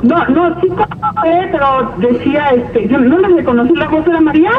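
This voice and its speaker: A woman's voice: high voice, normal speed. A middle-aged woman with a Mexican accent chatting casually over a telephone speaker. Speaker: vlogger's mother on a call. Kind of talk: casual phone chat. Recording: dull phone speaker in noisy car.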